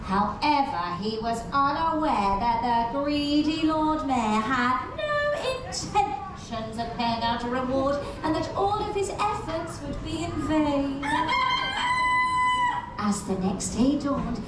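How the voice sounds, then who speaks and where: A woman narrating a children's puppet show in expressive, exaggerated, theatrical storytelling style. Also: high voice, slow pace, background noise. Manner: expressive, exaggerated, leads audience responses. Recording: echoey theatre from far off, loud music.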